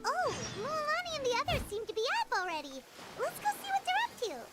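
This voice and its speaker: A super high squeaky little anime game mascot girl, excitable, swoops around, music behind. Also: brisk, heavily acted. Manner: squeaky, refers to self in third person. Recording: music under the voice.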